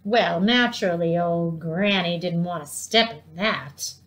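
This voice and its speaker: A middle-aged woman reading a children's storybook aloud in an animated way, with dramatic, drawn-out emphasis. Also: on the low side. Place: living room at home, indoors.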